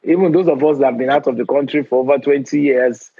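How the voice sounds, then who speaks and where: A man in his forties with a Nigerian accent hosts an interview, speaking earnestly and at a measured pace, often restarting his sentences. His voice is a bit husky and sits in a middle register. The recording is dry and slightly muffled, as if lo-fi. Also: medium pitch, steady tone, warm tone, no room sound. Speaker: host. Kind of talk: conversational interview.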